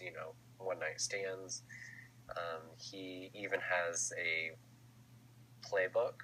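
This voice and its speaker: A man's voice: deep voice, sharp tone, slight background noise, rough recording. A young man with a stuffy, nasal voice from a cold, giving a class research presentation with frequent ums and restarts. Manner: hesitant, many ums and restarts. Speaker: student presenter.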